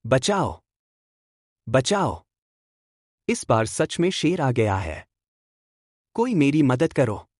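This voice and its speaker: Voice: medium pitch, very animated, drawn-out words, long pauses, dry recording, clean studio sound, exaggerated voice. An actor voicing a mischievous village boy in a cartoon, who teases the villagers and later cries for help. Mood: panicked. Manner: teasing laughter, then panicked shouting. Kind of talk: cartoon voice acting.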